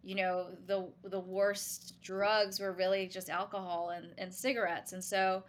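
A woman from Singapore, a guest on a podcast, talking in a relaxed, reflective way with many fillers. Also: medium pitch, a little slow. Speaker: guest, brand founder. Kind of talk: casual podcast interview.

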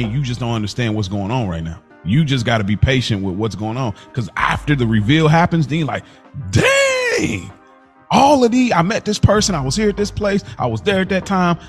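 A man co-hosting a podcast in casual, slangy, informal talk, telling stories in an animated way. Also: medium pitch. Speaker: podcast co-host. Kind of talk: casual chat.

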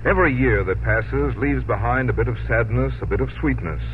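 A man's voice: medium pitch, expressive, fairly quick, slight background noise. A man reading scripted announcements and sponsor messages as a 1950s radio announcer. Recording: tinny vintage radio, loud music behind.

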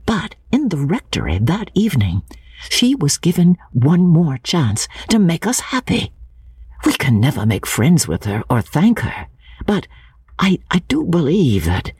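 A woman voicing an earnest, obstinate young man in love in an audiobook, speaking in hushed, fervent phrases. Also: sits low, breathy edge, dry recording, pitched above the performer's own voice, full character voice. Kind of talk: dramatic audiobook character reading.

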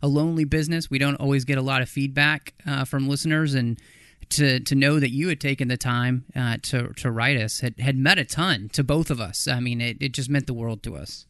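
Male podcast host, sincere and grateful, smooth, resonant voice. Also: medium pitch, fairly even intonation, a little fast, a bit bright, no room sound, clean studio sound. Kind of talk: casual interview talk.

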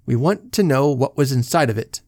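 A businesslike police chief, voiced by a male storyteller, speaks in brisk, official tones. A gravelly grain runs under his words. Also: mid-range voice, expressive, darkish tone, dry recording, studio quality, close to an everyday voice.

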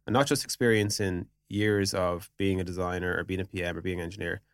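A man's voice: deep voice, fairly even intonation, fast, clear, resonant tone, dry recording, studio quality. A man speaking as a podcast guest in an informal, explanatory way, in casual business conversation peppered with filler words like "you know". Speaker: podcast guest, product executive.

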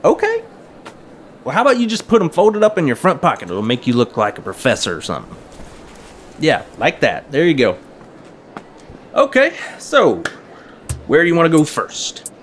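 A man's voice: on the high side, huge pitch swings, normal speed, pauses often, slight background noise. An actor playing an eager, folksy small-town hobbyist man in an audio drama, chatty and full of slang. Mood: upbeat, coaxing.

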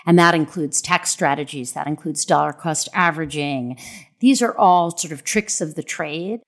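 A woman's voice: deep voice, normal speed. A woman executive explaining her company's service in a promotional spot.

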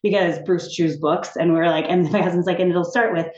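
A woman talking as a guest in a casual interview, animated and chatty, often laughing and restarting her sentences. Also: fairly low pitch, brisk. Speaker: TV executive, podcast guest. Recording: echoey room, dull sound.

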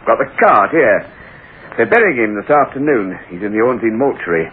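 An actor playing a matter-of-fact, sceptical police constable with a colloquial manner in an old-time radio drama. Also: male voice, fairly low pitch, sing-song, brisk. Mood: dismissive. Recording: narrow-band old radio recording, faint noise.

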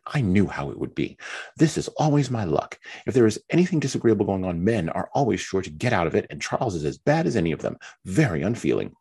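A man's voice: sits low, sing-song, fast talker, gravelly, dark tone, husky, voice pushed up, exaggerated voice. A man voicing a fretful, self-pitying young mother who whines and complains in a raised voice. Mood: whining. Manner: complaining, whiny and petulant.

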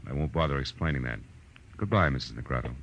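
An American actor playing a wry, tough private detective in a 1940s radio drama, clipped and sardonic. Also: male voice, a bass voice, lively intonation, quick pace, lo-fi sound, background noise. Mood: sardonic, cool. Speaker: lead actor and narrator of a detective series. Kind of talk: 1940s hard-boiled radio acting.

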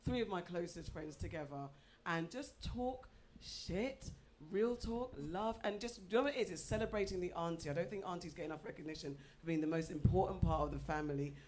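A middle-aged woman leads a live podcast recording, her voice sitting low for a woman. She talks boldly and playfully. Faint room noise sits under her. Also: a little fast, a little mellow. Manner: bold, swearing, playful banter. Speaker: podcast host. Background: live audience.

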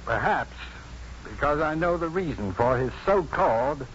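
Male actor as composed, defiant doctor, 1950s radio play. Firm and dry, animated pitch, background hiss. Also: moderate pace, lightly acted. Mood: firm, guarded. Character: self-possessed male doctor, dryly defiant and protective. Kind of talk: 1950s radio drama acting. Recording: muffled, noisy 1950s radio broadcast.

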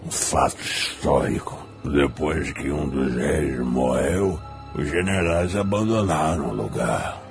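A decrepit old demon from a fantasy audio drama, extremely low and gravelly. The creature drawls slowly, crude and cheeky, with a muddled, slangy way of talking over prominent music. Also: male voice, full character voice. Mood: musing, evasive. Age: older. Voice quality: gravelly, with bouts of coughing. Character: small, repugnant old male demon, foul-mouthed, muddled, cheeky.

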